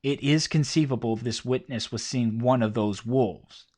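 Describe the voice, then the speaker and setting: A man giving measured, documentary-style scripted narration between witness accounts. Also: mid-range voice, darkish tone.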